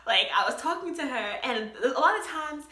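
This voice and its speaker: A young woman tells a story on her vlog with a bright, forceful voice that sits fairly high. She is lively and laughs as she goes. The room around her is echoey. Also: normal speed. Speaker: vlogger.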